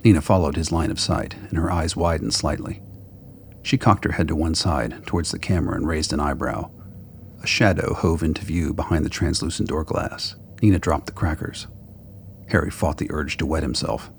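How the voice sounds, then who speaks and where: A man with a very low, gravelly voice narrates a scripted dramatic story. His tone sits deep in the chest with plenty of fry, over faint background noise. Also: moderate pace.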